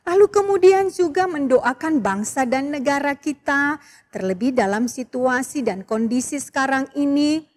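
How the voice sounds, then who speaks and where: A woman's voice: high-pitched, expressive, normal speed, long reverb tail. A woman giving a sermon to Sunday school children and addressing them directly. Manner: warm exhortation, addresses the children.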